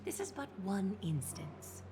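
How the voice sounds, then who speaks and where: A woman playing a gracious, calculating noblewoman of a holy city in a video game, speaking in formal, measured, eloquent phrases. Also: medium pitch, huge pitch swings.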